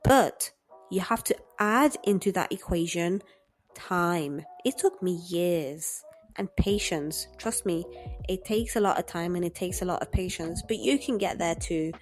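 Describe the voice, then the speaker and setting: A woman's voice: on the low side, animated, measured pace, faint music behind. A woman in her thirties, a native French speaker with a British English accent, giving an encouraging English lesson to camera and repeating key points for emphasis. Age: 30s. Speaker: English teacher.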